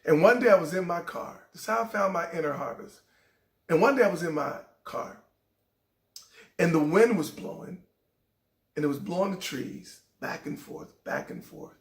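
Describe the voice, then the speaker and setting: A middle-aged man hosting a show, telling a heartfelt personal story in a raspy voice that sometimes cracks. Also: on the high side, expressive, moderate pace, roomy sound. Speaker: show host. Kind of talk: inspirational personal talk.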